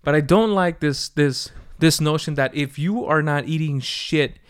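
Candid thirty-year-old man, smooth voice. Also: a little high, a little slow. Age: 30s. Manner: rambling, candid, swears freely. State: tired from poor sleep. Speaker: podcast host. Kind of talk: casual solo update monologue.

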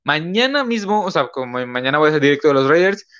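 A young man hosts his podcast in a slightly high voice. He rambles casually, piling up fillers and correcting himself mid-sentence. The sound is dull and lo-fi. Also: very animated, quick pace, fairly bright tone, clear, resonant tone. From northern Mexico. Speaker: podcast host. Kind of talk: casual live-stream talk.

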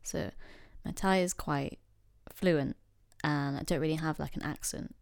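A young woman from England, talking casually and wryly with many pauses and fillers. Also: low-pitched, fairly even intonation, gravelly, no echo, clean studio sound. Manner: reflective, wry, lots of fillers and quoted voices. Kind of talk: casual conversation.

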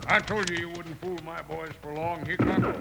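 Gravelly outlaw, very noisy recording. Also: male voice, a little high, steady tone, normal speed. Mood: gloating. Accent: American. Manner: sneering, defiant drawl. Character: surly, scheming captured western outlaw boss. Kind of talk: old-time radio western acting. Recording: very noisy old radio recording.

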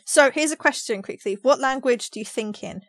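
A woman's voice: high voice, brisk, dry recording. Chatty, teasing woman hosting a podcast. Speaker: podcast host. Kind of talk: casual conversational podcast chat.

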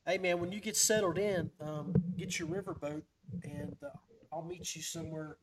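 Man, podcast host, somewhat high-pitched, casual chat, folksy, rambling storyteller. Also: big pitch swings, moderate pace, heavy background noise.

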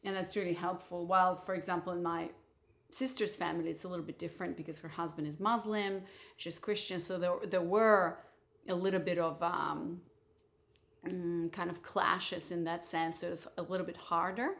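A woman from Moldova talks frankly and with strong opinions in a relaxed chat with her partner. Her voice sits a little low for a woman. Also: moderate pace. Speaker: podcast host. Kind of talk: casual couple's chat.

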